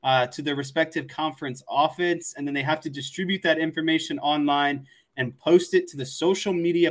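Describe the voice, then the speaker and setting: A man's voice: on the high side, fairly bright tone. A man in his twenties with an American accent, casually discussing sports as a co-host and circling hesitantly around his points. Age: 20s.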